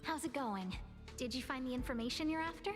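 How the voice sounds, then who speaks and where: A woman's voice: high-pitched, expressive, a little fast. An actress playing a composed, concerned young woman in charge of a space station, polite and articulate, in a game cutscene. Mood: upbeat. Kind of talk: scripted game cutscene acting. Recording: long reverb, music under the voice.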